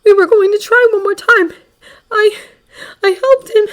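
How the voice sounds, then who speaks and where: A woman voicing a betrayed teenage boy who is crying, with a hoarse, rasping voice. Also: very high voice, normal speed, a bit bright, pitched above the performer's own voice. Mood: sobbing, bitter.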